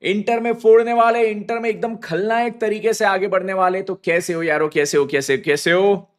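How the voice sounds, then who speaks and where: An accounting teacher with a hoarse, sore throat, hyping his students in a live online class and mixing English into his Hindi. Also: sits high, fairly even intonation, moderate pace. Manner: hypes students with slang, mixes English into Hindi. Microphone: phone on mobile data, live stream.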